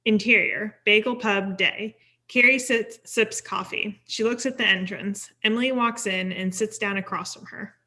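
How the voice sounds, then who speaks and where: A woman's voice: mid-range voice, steady tone, normal speed, few pauses, pushed and bright. A woman reading scripted screenplay stage directions at a table read.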